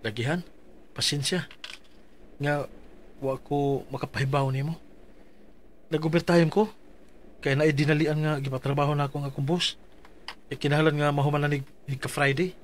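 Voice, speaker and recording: A man's voice: a little high, level tone, moderate pace, long pauses, close to an everyday voice. A man playing a dutiful forty-something worker. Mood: weary. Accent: Cebuano. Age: 40s. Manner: polite and deferential. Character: married male office worker, patient and dutiful. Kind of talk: radio drama narration and acting.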